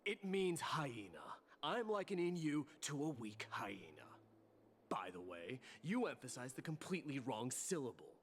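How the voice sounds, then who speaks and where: Proud warrior, swinging, higher-set male voice. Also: big pitch swings, normal speed, slightly rough. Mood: scornful. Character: proud, gruff young warrior man, curt and scornful. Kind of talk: video game voice acting.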